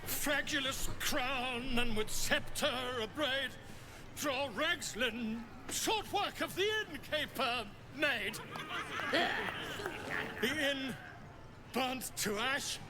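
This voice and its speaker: A man's voice: very high-pitched, extremely slow, long pauses, music in the background, heavily acted. A man playing a pompous bard in a fantasy game, declaiming florid heroic verse theatrically. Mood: grandiose.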